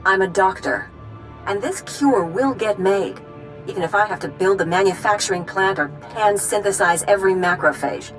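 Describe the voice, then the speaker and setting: An actress playing a determined, indignant doctor in a sci-fi video game. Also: female voice, medium pitch, normal speed, faint music behind, natural voice. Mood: defiant. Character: fiercely determined female doctor. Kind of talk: video game dialogue acting.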